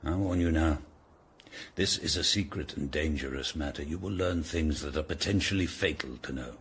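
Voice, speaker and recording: Very deep, stern older English Tudor lord. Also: male voice, normal speed, fairly bright tone, slight background noise. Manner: commanding, formal, portentous. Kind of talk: radio drama acting.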